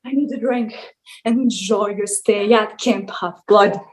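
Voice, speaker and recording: A woman's voice: medium pitch, steady tone, slow pace, lightly acted. A woman playing a jaded, sarcastic male wine god who runs a summer camp, in a weary, theatrical table read. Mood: weary, impatient. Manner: sardonic, weary, theatrical complaining.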